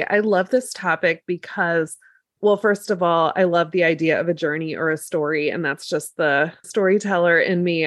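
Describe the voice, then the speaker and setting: A middle-aged American woman chatting casually as a podcast co-host, her voice slightly gravelly after the flu, with a storytelling way of talking. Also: lowish voice, no echo, studio quality. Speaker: podcast co-host and lactation consultant.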